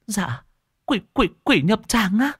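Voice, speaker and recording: A frightened old grandmother stammers and trips over her words, her voice pushed high and wavering, as a man puts her on. The sound is dry and close, with no room around it. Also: animated, moderate pace, breathy edge, dry recording. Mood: terrified.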